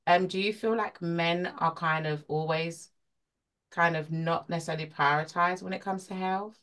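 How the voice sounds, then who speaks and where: Low-voiced woman co-hosting. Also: steady tone, normal speed, fairly bright tone. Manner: chatty, uses fillers like "um" and "like". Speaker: co-host. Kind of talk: casual chat.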